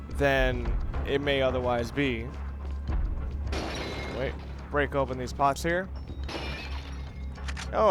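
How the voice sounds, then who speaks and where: A man giving casual, explanatory let's play commentary, halting and rephrasing as he plays. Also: fairly high pitch, a bit bright. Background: video game audio and music.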